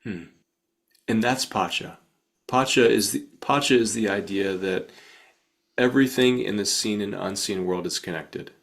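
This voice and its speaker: A man hosting a podcast interview, speaking conversationally and deliberately, in short phrases. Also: lowish voice, vocal fry, fairly bright tone.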